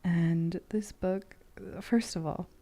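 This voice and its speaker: A woman chatting casually in an ASMR style, with a soft, breathy, often whispered voice and a halting, meandering manner. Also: fairly low pitch, fairly even intonation. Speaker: streamer host.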